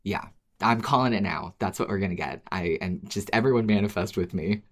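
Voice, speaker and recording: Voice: low-pitched, brisk. A podcast co-host chatting casually about a favourite show, playful and agreeable. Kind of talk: casual fan podcast chat.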